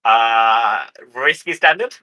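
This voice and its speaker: Bright, sharp-voiced man playing a tabletop game. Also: medium pitch, lively intonation, slow pace. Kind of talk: casual in-game discussion.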